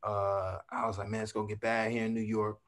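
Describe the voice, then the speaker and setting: A man's voice: on the low side, monotone, a little fast. Laid-back man chatting on a video call. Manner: laid-back, talks freely with fillers and asides. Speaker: actor guest. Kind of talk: casual video call chat. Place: home room, indoors.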